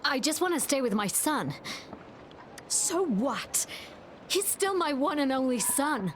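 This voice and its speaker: A woman's voice: high voice, sing-song, slow, noisy background, full character voice. A woman playing an anxious, defensive mother in a video game, speaking with emotion. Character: anxious, defensive mother clinging to her son.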